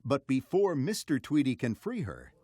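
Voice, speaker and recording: A man narrating a children's read-along story in a dramatic, measured storytelling manner. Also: mid-range voice, wildly swooping pitch, mellow, slight background noise. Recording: music behind the narration.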